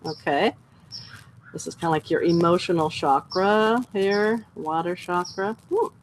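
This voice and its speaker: A woman's voice: fairly low pitch, measured pace, a little hiss or hum, rough recording. A woman giving a chakra reading in a casual talk, reassuring and saying okay often. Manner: reassuring, says okay a lot. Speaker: energy healer.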